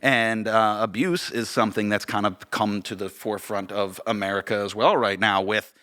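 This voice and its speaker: A man's voice: lowish voice, steady tone, normal speed, sharp tone. A man co-hosting a casual podcast chat, informal and affirming in the way he talks. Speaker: podcast co-host and director. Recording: reverberant room sound.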